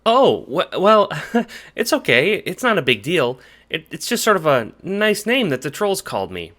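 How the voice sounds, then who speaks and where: Raised pitch, earnest bashful teen, man acting, gentle, hesitant, audio drama. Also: very animated, unhurried, slight background noise, exaggerated voice. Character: earnest, bashful, kindly teenager chatting with friends.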